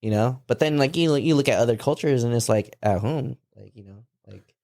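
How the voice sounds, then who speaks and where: A man co-hosting a podcast in casual chat, relaxed and rambling, with lots of fillers and frequent laughs. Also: medium pitch, a little fast, gravelly, no room sound, clean studio sound. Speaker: podcast co-host.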